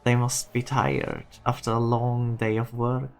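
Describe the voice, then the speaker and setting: A man playing an ambitious, proud self-made businessman, deliberate and speechifying, in a fan-dubbed game drama. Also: medium pitch, expressive, vocal fry, soft background music. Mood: wistful, firm. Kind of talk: scripted fan-dub game drama monologue.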